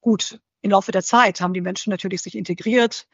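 A woman answering interview questions thoughtfully, in a measured way that often pauses to search for the right word. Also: mid-range voice, steady tone, dry recording. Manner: measured, searching for words, frequent fillers. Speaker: interview guest. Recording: slightly dull dry recording.

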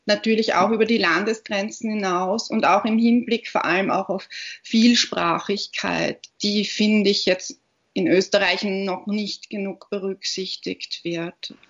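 A woman's voice: mid-range voice, steady tone, unhurried. Thoughtful Austrian woman, muffled sound. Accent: Austrian German. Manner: thoughtful, searching for words. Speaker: podcast guest, literary platform founder. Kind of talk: conversational interview answers. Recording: dull, muffled podcast recording.

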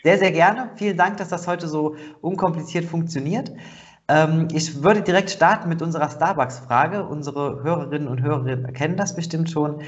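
A man's voice: on the high side, moderate pace, highs cut off. A man hosting a podcast, asking chatty conversational questions with fillers. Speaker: podcast host. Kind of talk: podcast interview.

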